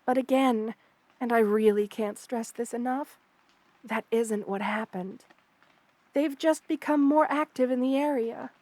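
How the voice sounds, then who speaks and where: Gentle, high-voiced motherly spider queen played by a woman. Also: no echo, lightly acted. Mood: firm reassurance. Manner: gentle, soothing, hesitant pauses, formal wording. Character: kind, motherly spider queen monster woman, tender and protective. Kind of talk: ASMR roleplay, intimate one-sided dialogue to the listener.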